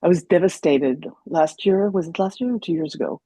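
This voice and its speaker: A woman's voice: fairly low pitch, fairly quick, mellow, breathy edge, no echo. A woman in her sixties, a native German speaker raised in France, telling stories animatedly as a podcast guest, with many restarts. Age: 60s. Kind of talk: casual conversation.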